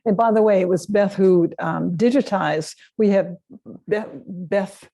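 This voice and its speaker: A woman's voice: medium pitch, a little slow, vocal fry, dark tone. A woman presenting a local history talk and taking audience questions. She speaks conversationally, often agreeing with "yeah" and restarting sentences. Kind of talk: lecture and audience Q&A. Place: meeting room with a live audience.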